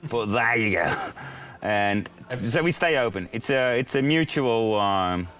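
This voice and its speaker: A man whose mother tongue is Dutch, telling stories casually as a podcast guest with lively exclamations. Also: medium pitch, a little slow. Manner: lively, animated, breaks into exclaimed sayings. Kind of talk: casual storytelling.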